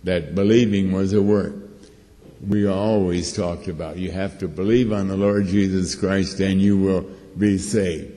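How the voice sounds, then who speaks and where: An elderly man teaching a Bible study from a lectern, reading scripture slowly and deliberately. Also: low voice, fairly even intonation, mellow. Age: older. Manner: slow, deliberate, reads scripture aloud with pauses. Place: church hall with red curtain, at a lectern. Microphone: lectern microphone. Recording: reverberant hall.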